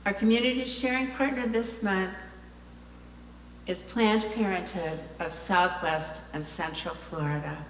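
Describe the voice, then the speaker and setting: A woman leading a congregation service, reading a prepared offering announcement in measured, formal phrasing. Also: medium pitch, steady tone. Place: large indoor congregation hall. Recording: distant, echoey hall, faint hum.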